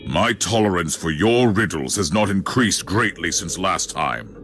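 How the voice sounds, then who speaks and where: An actor playing a stern, suspicious, commanding inquisitor in a sci-fi video game, speaking formally and curtly. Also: male voice, low-pitched, expressive, a little slow, a bit bright, faint music behind. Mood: impatient. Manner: formal, curt, demanding. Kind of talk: dramatic video game dialogue.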